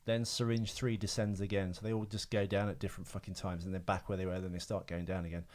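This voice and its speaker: A man's voice: deep voice, little pitch movement, fast talker. Rambling man co-hosting a podcast. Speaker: podcast co-host. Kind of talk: casual podcast banter and film trivia.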